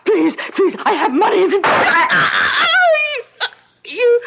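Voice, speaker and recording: An actress playing a frail elderly lady in a radio drama, speaking in halting exclamations. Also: extremely high pitch, sing-song, creaky, heavily acted. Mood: terrified pleading. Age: older. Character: frail, frightened elderly single lady pleading. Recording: dull, noisy old radio recording.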